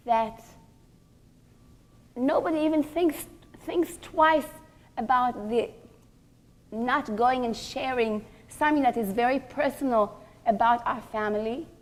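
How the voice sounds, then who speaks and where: Woman, religious teacher, high voice, very slow delivery, emphatic repetition, rhetorical questions. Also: expressive. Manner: emphatic, repeats key phrases for effect. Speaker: religious teacher giving a lecture to women. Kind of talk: religious lecture.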